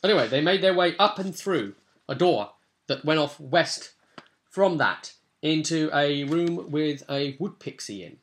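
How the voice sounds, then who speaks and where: A man's voice: a little high, expressive, a little slow, a bit bright. A British man giving casual, unscripted commentary on a tabletop role-playing session as game master, in a rambling, colloquial way.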